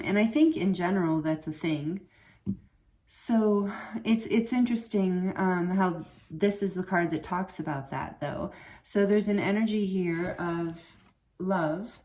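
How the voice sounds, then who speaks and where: A woman with a slightly rough throat giving a casual tarot card reading, rambling and correcting herself with many fillers. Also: fairly low pitch, darkish tone. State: throat a little rough from fighting off a cold. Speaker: tarot reader.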